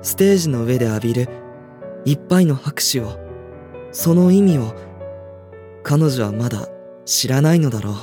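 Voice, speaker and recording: Woman playing a low-voiced, blunt teenage boy. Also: normal speed, pauses often, soft background music. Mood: wistful, introspective. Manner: flat, curt dialogue and introspective narration. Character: reserved, blunt teenage high school boy, former ballet dancer. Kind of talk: radio drama acting and first-person narration.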